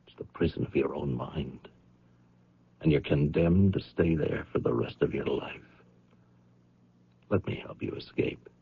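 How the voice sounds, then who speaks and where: A solemn, coaxing figure in an old radio drama. The voice is extremely low and husky, with measured, persuasive weight. It comes through a thin, phone-like vintage broadcast. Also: male voice, full character voice. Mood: gently persuasive. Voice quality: gravelly, husky. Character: grave, persuasive man coaxing a guilty woman to confess. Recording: muffled, narrow-band old radio broadcast.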